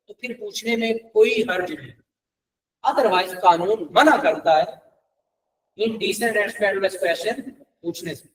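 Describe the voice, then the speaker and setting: A man's voice: extremely high pitch, far from the mic, rough recording. A Pakistani man giving a classroom law lecture in a conversational way, mixing in English terms and checking points with rhetorical questions.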